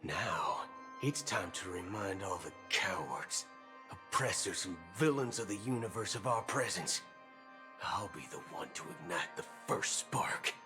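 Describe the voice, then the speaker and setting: An actor playing a grand, stoic space ranger in a video game, speaking in solemn, measured declarations. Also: male voice, low voice, animated. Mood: defiant. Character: stoic adult male galaxy ranger, grandly heroic. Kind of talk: scripted video game acting. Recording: game audio over loud music.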